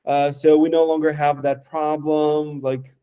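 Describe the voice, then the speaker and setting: Mellow-voiced man demoing software. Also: fairly high pitch, little pitch movement, clean tone. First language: Romanian. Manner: halting, lots of fillers and restarts. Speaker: software developer. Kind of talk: casual screen-share product demo.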